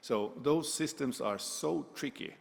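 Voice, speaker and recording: A man from Finland with a Finnish accent, speaking English as a panelist at a conference and often pausing to search for words. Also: mid-range voice, creaky. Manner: halting, many "uh" fillers, searches for words. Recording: echoey lecture hall.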